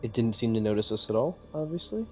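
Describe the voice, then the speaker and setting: A man's voice: medium pitch, animated, fast, a little mellow, soft background music, low-quality recording. A man playing a tabletop roleplaying game, talking casually with the other players. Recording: faint background noise.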